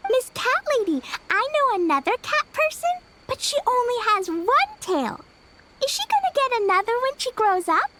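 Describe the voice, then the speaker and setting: A woman's voice: very high-pitched, big pitch swings, normal speed, very noisy. An actress voicing an eager, inquisitive little girl in a video game. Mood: curious. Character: curious, cheerful little girl.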